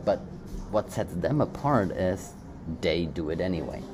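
Deep-voiced man, German native speaker. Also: expressive, measured pace. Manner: rambling, many restarts and fillers. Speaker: podcast co-host. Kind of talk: casual motivational chat. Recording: background noise.

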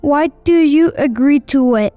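A woman interviewing a guest with brief, measured questions. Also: high voice, mellow, no echo, soft background music.

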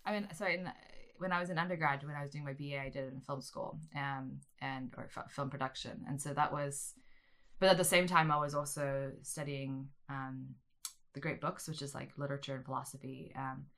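A woman's voice: very deep voice, steady tone, a little fast, a bit bright. A woman in her thirties from South Africa, a media studies teacher answering interview questions at length with many restarts and fillers. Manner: rambling, many restarts and ums.

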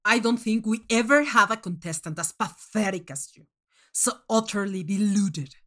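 A woman voicing a furious, contemptuous monstrous male alien judge in a story, scathing and emphatic as the voice rises toward shouting. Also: medium pitch, normal speed, close to an everyday voice.

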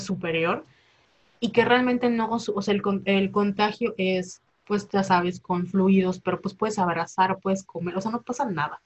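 A young Mexican woman in her twenties talks in a loose, rambling way, stacking up fillers and slang. Her voice sits at a middling pitch, and the recording sounds a little dull and lo-fi. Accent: Mexican Spanish. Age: 20s. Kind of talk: casual chat.

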